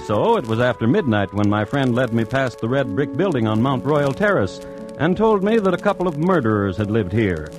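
An actor playing an urbane, wry crime connoisseur, narrating a 1950s radio series in a droll, deliberate way. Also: male voice, mid-range voice, big pitch swings, over music, lightly acted, poor audio. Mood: droll, storytelling. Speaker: host and narrator.

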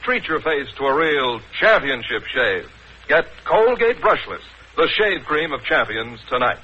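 A man's voice: mid-range voice, big pitch swings, unhurried, slightly rough. An American man reading a 1940s radio advertisement as a breezy, polished hard-selling announcer. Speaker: commercial announcer. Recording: dull 1940s radio recording.

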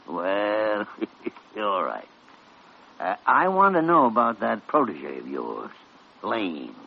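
Casting: gruff, blustery old physician mentor, gravelly and slow, vintage radio drama, muffled recording. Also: male voice, on the low side, big pitch swings, full character voice. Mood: teasing, blustery. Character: crusty, teasing senior physician, old male mentor.

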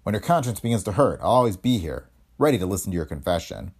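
A man's voice: deep voice, very animated, quick pace, breathy edge, exaggerated voice. A man acting a blunt, intimidating police chief. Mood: grudging, warning. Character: gruff shrewd police chief, threatening but grudgingly amused. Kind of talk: hardboiled detective audiobook dialogue.

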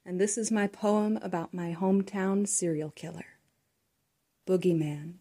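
A woman from Texas reading her own rhyming poem in a hushed, conspiratorial way, dropping at times into a whisper. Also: lowish voice, fairly even intonation, unhurried. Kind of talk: rhythmic poetry reading.

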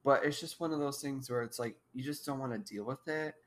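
A woman's voice: very deep voice, fairly quick. A young woman in her twenties, a podcast co-host, talking casually and giggling often while stuffed up with allergies. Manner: giggly, rambling. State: congested. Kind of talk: casual chat.

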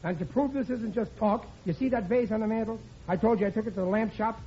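Man acting a high-voiced, bumbling American husband. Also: quick pace, dark tone, lightly acted. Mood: earnest, proud. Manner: stammering, protesting, pleading. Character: bumbling, earnest, excitable working-class husband. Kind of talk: 1940s radio sitcom acting. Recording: dull, noisy old radio recording.

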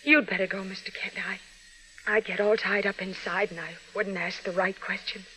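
An actress playing an anxious, devoted young fiancée, hesitant and pleading, in an old radio drama. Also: female voice, mid-range voice, steady tone, normal speed, sharp tone, lightly acted, rough recording. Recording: old radio recording, faint noise.

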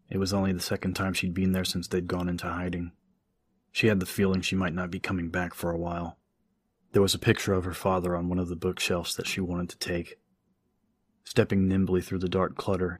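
Very flat, low-voiced male narrator. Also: husky. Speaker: audiobook narrator. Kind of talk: scripted horror story narration. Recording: music under the voice. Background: music.

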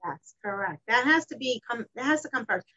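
A woman in her fifties speaks casually as a colon hydrotherapist, with a voice pitched a little high. She rambles, full of fillers and restarts. The recording sounds somewhat dull and lo-fi. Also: expressive, brisk, bright, forceful tone. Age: 50s. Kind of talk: casual interview talk.

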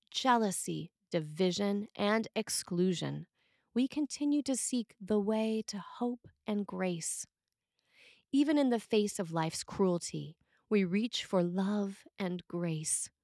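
A woman priest reading a scripted sermon in measured, deliberate phrases. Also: medium pitch, darkish tone, no echo, clean studio sound.

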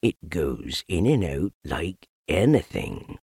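A gloomy storybook donkey, acted by a man reading aloud. The voice sinks very low and drags along slowly with a husky, mournful murmur, as if talking to itself. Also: wildly swooping pitch, a little mellow, no echo, studio quality, exaggerated voice. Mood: quietly pleased. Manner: slow, mournful, murmuring to himself. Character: gloomy, slow, melancholy male donkey.

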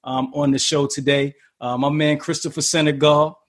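A man hosting an interview podcast, talking in a relaxed, casual way with lots of fillers and restarts. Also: a little high, normal speed. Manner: laid-back, many fillers and restarts. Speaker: podcast host.

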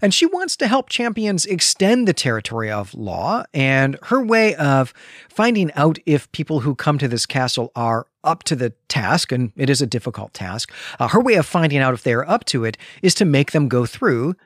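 A man's voice: on the high side, sing-song, moderate pace, dry recording, clean studio sound. A man co-hosting a book podcast, recapping a story conversationally with wry asides. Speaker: podcast co-host.

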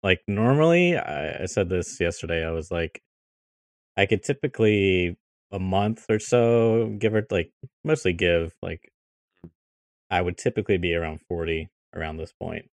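A man with a very low voice talks in a relaxed, chatty way as co-host of a gaming podcast. The sound is dry, with no room in it. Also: moderate pace, no echo. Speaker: podcast co-host, video editor. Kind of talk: casual chat.

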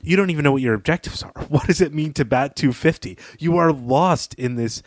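A man's voice: a little high, a little fast, dry recording, studio quality. A man co-hosting a podcast, talking through literary analysis in a conversational way. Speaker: podcast host.

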